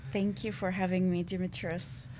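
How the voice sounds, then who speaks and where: A woman from Syria, in her thirties, answering interview questions thoughtfully, with many pauses and fillers. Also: lowish voice, little pitch movement, dark tone, slight background noise. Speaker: podcast guest.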